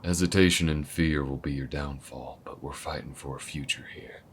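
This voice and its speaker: A calm, weathered alien gunslinger, voiced by a man with an extremely deep, rumbling voice that often drops to a soft near-whisper. He talks in an easygoing, laid-back drawl. A faint low rumble sits under the recording. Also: moderate pace. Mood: steady, easygoing. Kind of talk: audio roleplay acting.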